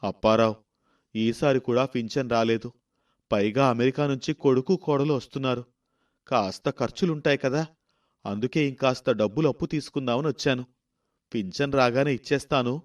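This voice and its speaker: A man's voice: medium pitch, normal speed, natural voice. A man voicing a poor, aggrieved elderly village husband in a story, complaining and pleading. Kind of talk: storybook voice acting.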